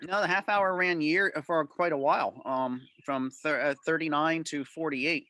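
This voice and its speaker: A man's voice: a little high, fairly even intonation, normal speed, sharp tone, a little hiss or hum. A man answering club members' questions informally on a video call, explaining things in detail.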